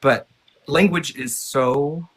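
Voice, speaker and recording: A man's voice: fairly high pitch, takes it slowly, a bit bright. A man raised in Texas whose first language was Hungarian, chatting enthusiastically on a live stream. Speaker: guest. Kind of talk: casual live stream chat.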